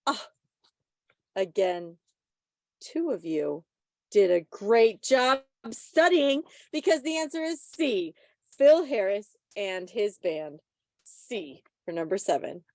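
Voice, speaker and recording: A woman's voice: medium pitch, very animated, slow, darkish tone, no echo. A woman with a playful, teasing, chatty manner, casually presenting a quiz on a video call. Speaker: quiz host at a club meeting. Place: online video meeting.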